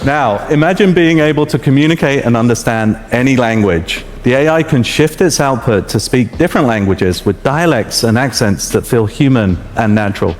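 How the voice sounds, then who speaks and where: A man's voice: medium pitch, normal speed, few pauses. A man, a native Persian speaker, presenting a live product demonstration on stage. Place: stage before an audience. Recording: reverberant hall, faint music.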